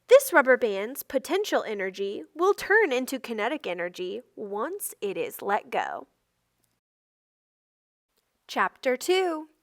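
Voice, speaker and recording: A woman reading a non-fiction children's book aloud in a measured, instructional way, phrase by phrase. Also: fairly high pitch, fairly bright tone, no echo, clean studio sound. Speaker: read-aloud narrator.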